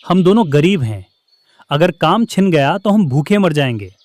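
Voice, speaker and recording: Humble young cartoon sparrow, earnest, lively intonation, slightly high register male actor. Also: moderate pace. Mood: pleading. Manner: earnest, emotional. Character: honest, simple, hardworking young male sparrow. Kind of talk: Hindi cartoon story dialogue.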